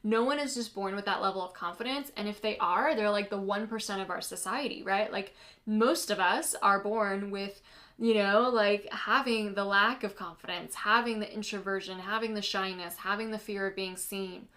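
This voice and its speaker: A woman's voice: mid-range voice, normal speed, sharp tone. A woman from the United States whose first language is Polish, hosting a casual solo podcast as a business coach, chatty and earnest with lots of "like" and "you know".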